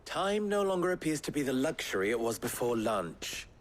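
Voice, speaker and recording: An actor playing an eloquent, wry, calculating town schemer in a video game, speaking in florid, measured phrases with dry wit. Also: male voice, medium pitch, big pitch swings, slight background noise, close to an everyday voice. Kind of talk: video game cutscene acting.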